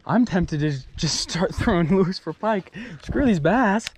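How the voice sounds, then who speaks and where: Excited young man fishing from a boat. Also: high-pitched, noisy background. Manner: excited, laughing, quick exclamations. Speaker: fishing vlogger. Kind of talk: casual chat while fishing. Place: small boat on a lake outdoors. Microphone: action camera.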